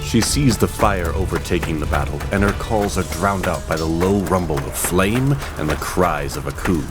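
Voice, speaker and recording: A man tells a fantasy audio drama as its narrator. His voice is very low and his reading measured and descriptive. Music plays clearly behind him. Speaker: storyteller.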